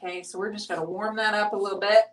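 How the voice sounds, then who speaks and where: A woman's voice: medium pitch, fairly even intonation, fast talker. A middle-aged woman hosting a painting show, chatting casually and thinking aloud as she paints. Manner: chatty, teasing, thinks aloud while working. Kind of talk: casual live-stream chat while painting. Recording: roomy sound from a distance.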